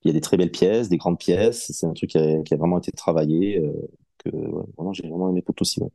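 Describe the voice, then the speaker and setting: Low, dark-voiced man in his forties. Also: quick pace, vocal fry, dry recording. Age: 40s. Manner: relaxed, hesitant, many fillers and restarts. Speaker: podcast guest. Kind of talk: casual interview chat.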